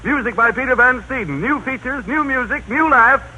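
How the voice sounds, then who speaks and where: High-pitched American 1930s radio announcer man. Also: moderate pace, pushed and bright, lo-fi sound, a little hiss or hum. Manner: theatrical showman delivery. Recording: 1930s radio broadcast, music behind.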